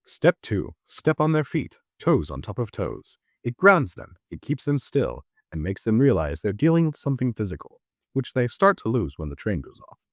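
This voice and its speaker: Man acting a theatrical, swooping low-voiced train conductor. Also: big pitch swings, normal speed, no echo, studio quality. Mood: instructive, wry. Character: calm, instructive male train conductor, theatrical and wry. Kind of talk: audio-drama character dialogue.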